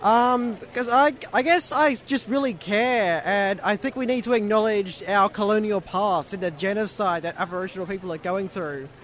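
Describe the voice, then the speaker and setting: A man's voice: high-pitched, normal speed, hardly any pauses, a bit bright. A man at an outdoor rally giving an earnest answer, searching for words. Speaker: rally attendee.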